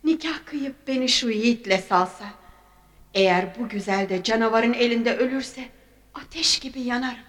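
An actress playing a lovestruck young maiden in a dramatised folk epic. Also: female voice, fairly high pitch, moderate pace, natural voice. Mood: yearning. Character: lovestruck young maiden yearning for a brave hero. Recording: echoey hall reverb on voice.

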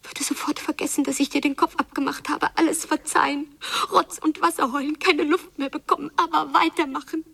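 An actress playing a wounded, secretive young daughter who longs and seethes in a radio drama, often hushed and drawing out her phrases. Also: sits high, steady tone, fairly quick, vocal fry, few pauses. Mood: desperate pleading. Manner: hushed, echoing repeated phrases, drawn out. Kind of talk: literary radio drama, whispered inner monologue.

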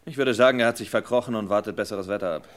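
A man plays an eager young lieutenant in a vintage radio play, reporting quickly and politely to his chief. His voice sits a bit low and slightly back from the mic. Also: natural voice. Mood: deferential. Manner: brisk, deferential. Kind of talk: 1960s radio drama acting.

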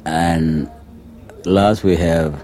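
A man giving hesitant interview answers, pausing often with fillers. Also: low voice, fairly even intonation, mellow. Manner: hesitant, many pauses and fillers. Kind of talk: halting interview answers in English.

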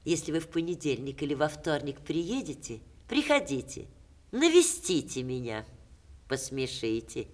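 A low-voiced, theatrical ailing actress. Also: female voice, normal speed. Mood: fond, wry. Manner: expressive, theatrical stage diction. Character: witty, theatrical, ailing actress writing teasing letters. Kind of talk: 1960s Soviet radio theatre acting. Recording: 1960s radio play recording.

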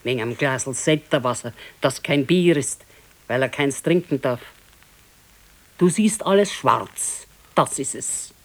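A woman's voice: a bass voice, expressive, unhurried, heavily acted. A stoic elderly wife in a naturalistic radio drama. Her Bavarian-accented speech is terse and matter-of-fact, and she contradicts and scolds in a dry, unsentimental way. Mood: scolding. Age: older. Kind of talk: naturalistic radio play dialogue.

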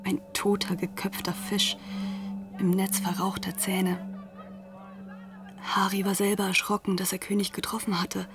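A woman playing a school pupil who narrates a literary radio drama in a hushed, deliberate way. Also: mid-range voice, monotone, over loud music, natural voice. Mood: grave, uneasy. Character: former school pupil recounting events as narrator.

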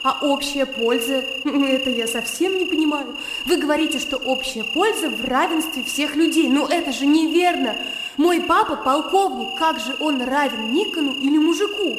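Actress as a high-voiced naive young gentlewoman. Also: moderate pace, very noisy, lightly acted. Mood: stubborn, arguing. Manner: artless, lively, argumentative. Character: naive, self-assured young country gentlewoman, playful and stubborn. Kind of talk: radio play dialogue. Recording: echoey reverberant sound with loud music.

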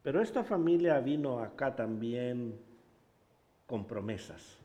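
A man from Honduras preaching in Spanish with a Honduran accent, pausing for an interpreter and telling stories with animated humor. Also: medium pitch, muffled recording. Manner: animated storytelling, laughs at himself. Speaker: pastor. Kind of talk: bilingual sermon with interpreter.

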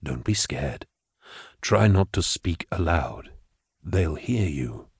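A man playing a calm, refined telepath. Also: sits low, gravelly, slightly rough, no room sound, studio quality, pitched below the performer's own voice, heavily acted. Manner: polite, measured, hushed. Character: calm, refined middle-aged male telepath.

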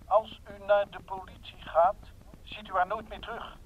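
A kidnapper on a muffled phone line. The voice is high for a man, curt and cold, giving orders with open menace in radio-drama style. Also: little pitch movement, moderate pace. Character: cold, threatening male kidnapper on the telephone. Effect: telephone filter. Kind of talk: Dutch radio play acting.

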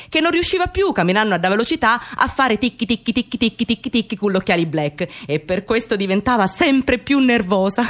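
Gossipy sicilian immigrant woman, radio play monologue, excitable, voice swooping all over, bits of broken english. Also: on the high side. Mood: amused, agitated. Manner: animated storytelling, mixing dialect with broken English. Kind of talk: theatrical monologue for radio drama.

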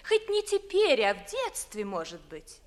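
An actress playing a cool, haughty young lady with deliberate, pointed delivery, in 1950s Russian theatrical radio verse. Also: on the high side, huge pitch swings. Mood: icy, pointed. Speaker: stage actor.

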